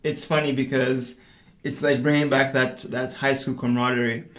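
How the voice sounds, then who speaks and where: A young man from Zambia talks casually as a podcast guest, stumbling through fillers and repeated words. His voice sits at a middle pitch. The recording carries a noticeable room echo. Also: little pitch movement, moderate pace, fairly bright tone. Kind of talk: casual conversation. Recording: echoey room.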